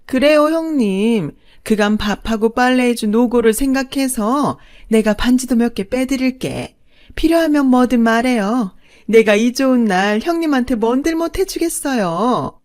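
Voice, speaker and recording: A woman's voice: very animated, moderate pace, a little mellow, no echo, clean studio sound. A woman voicing a haughty, spiteful middle-aged woman who mocks and coos in a dramatised family story. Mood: gloating. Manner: mocking, cooing at her man, shrill in outrage.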